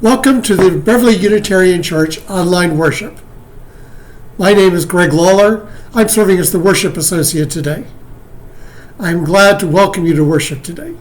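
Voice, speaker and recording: Man with a high-ish voice, reading church welcome and announcements. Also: normal speed. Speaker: worship associate.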